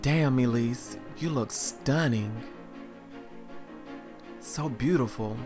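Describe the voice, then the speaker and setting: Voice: medium pitch, slow, music in the background, close to an everyday voice. A performer voicing an awestruck partner at the altar. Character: smitten woman partner in a tuxedo, confident.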